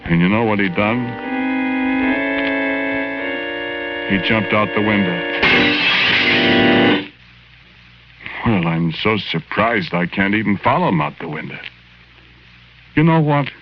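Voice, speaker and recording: Man playing hapless ghost, American, deep register, folksy bad grammar, old radio drama, dull lo-fi sound. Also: animated, moderate pace, close to an everyday voice. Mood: baffled, earnest. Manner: folksy, ungrammatical, hesitant, plain-spoken. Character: hapless, lovelorn working-class ghost telling his own story. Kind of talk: 1940s radio drama narration. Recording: muffled 1940s radio recording, faint music.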